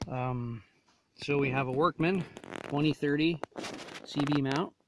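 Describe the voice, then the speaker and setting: Man giving a casual, halting gear demo. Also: on the high side, background noise. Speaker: gear reviewer showing a radio install. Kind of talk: casual product demonstration.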